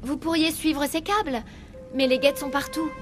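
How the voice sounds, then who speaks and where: A young female research assistant from a video game , played by an actress, with a high voice. She sounds earnest and uneasy, like someone who blames herself. Faint music sits behind her lines. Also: steady tone, normal speed, close to an everyday voice. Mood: anxious, explaining. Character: anxious, self-blaming young female research assistant, trapped. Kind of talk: French video game dub.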